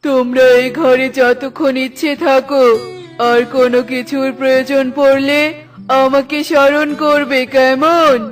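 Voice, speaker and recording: Give the cartoon a menacing forest hermit acted by a man. He is a stern tantrik who commands and admonishes. His high voice stays on an even, unvarying line, with faint music underneath. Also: unhurried. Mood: solemn, hospitable. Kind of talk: children's cartoon voice acting.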